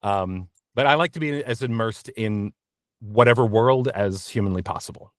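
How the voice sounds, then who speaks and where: A man's voice: fairly low pitch, moderate pace, no room sound. Male interview guest, conversational and practical, explains things plainly, close dry recording. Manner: practical, explanatory advice-giving.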